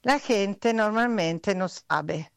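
A woman's voice: mid-range voice, slow, gravelly, clear, resonant tone, dry recording, clean studio sound. An Italian woman, a guest artist, speaking Spanish as a second language in a casual interview, hesitant and searching for words. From Italy.

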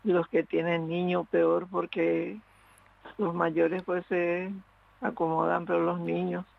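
A man calling into a radio show, speaking slowly and earnestly, offering heartfelt wishes. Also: sits high, completely flat delivery, creaky. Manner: slow, earnest, prayerful wishes. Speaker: radio caller. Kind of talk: phone-in chat. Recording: tinny phone line.

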